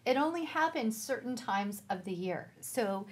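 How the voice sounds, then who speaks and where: A woman with a fairly high, husky-edged voice co-hosts an education segment. She explains things casually, as a presenter guiding viewers through a resource. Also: animated, a little slow.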